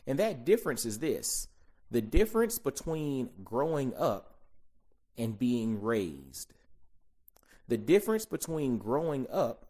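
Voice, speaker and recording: A man in his forties from Georgia, hosting a solo podcast in a reflective, conversational way. He repeats key phrases and slows down to stress them. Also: medium pitch, dark tone. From Augusta, Georgia, US. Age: 40s. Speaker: podcast host.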